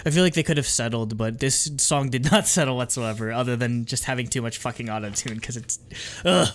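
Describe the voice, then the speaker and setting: Emphatic animated male podcast co-host. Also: medium pitch, a little fast. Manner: emphatic and animated, swears, talks over others. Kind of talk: casual music review chat.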